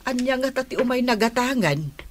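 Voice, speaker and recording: An actress with an Ilocano Filipino accent playing an indignant, gossiping neighbour who scolds and exclaims in a radio comedy drama. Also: female voice, a little high, animated, normal speed.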